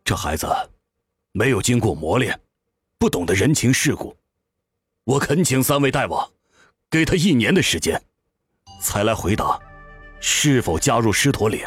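A man playing a humble, earnest tiger-headed demon father in a fantasy audio drama, low and subdued, pleading carefully and deferentially. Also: very animated, moderate pace, pauses often, breathy edge, no room sound, faint music behind.

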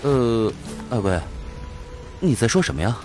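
A man's voice: medium pitch, big pitch swings, measured pace, music in the background. Casting: male actor as boyish young adventurer, naive, incredulous, wildly varied intonation, fantasy audio drama. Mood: puzzled. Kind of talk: fantasy radio drama acting.

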